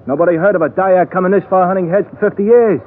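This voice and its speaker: High-voiced, brash waterfront fellow. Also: male voice, quick pace. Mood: blunt, grim. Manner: blunt, offhand. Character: blunt, brash male waterfront go-between. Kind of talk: 1940s radio drama acting. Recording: tinny, faintly noisy old radio broadcast.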